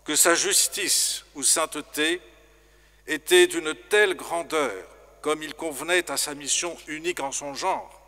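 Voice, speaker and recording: A middle-aged priest gives a formal sermon, speaking very slowly and with great care. His voice is bright and clear, sitting a little high for a man. It rings out through a long church reverb. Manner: slow, measured, formal preaching. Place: large stone church. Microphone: stand microphone in front.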